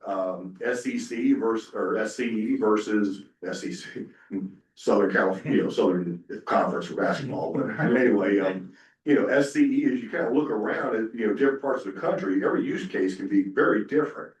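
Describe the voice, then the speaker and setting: A man giving a casual conference presentation, talking in a folksy way with lots of fillers. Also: on the low side. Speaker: conference panelist. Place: conference room. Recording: echoey room, speaker far from mic.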